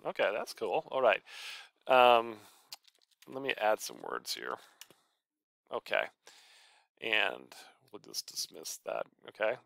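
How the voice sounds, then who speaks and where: A man's voice: fairly low pitch, big pitch swings, slow pace, gravelly, long pauses, a bit bright, dry recording, clean studio sound. A man giving a casual screencast tutorial, talking informally through his steps aloud. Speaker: college professor and author giving a software tutorial.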